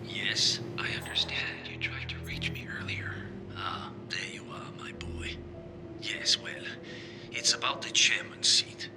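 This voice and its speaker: Older male society patriarch, played by an actor: whispery, haughty, formal and disapproving, loud music behind. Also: medium pitch, measured pace, natural voice. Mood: formal, displeased. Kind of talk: scripted game phone dialogue.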